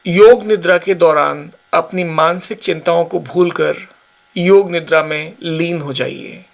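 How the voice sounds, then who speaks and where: A man giving guided yoga nidra meditation instructions in measured short phrases with pauses. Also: a little high, steady tone, creaky.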